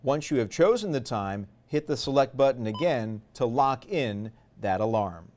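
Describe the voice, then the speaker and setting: A man's voice: medium pitch, expressive, normal speed, a little mellow, faint music behind. A man narrating a product tutorial step by step in a methodical, explanatory way. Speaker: instructional presenter.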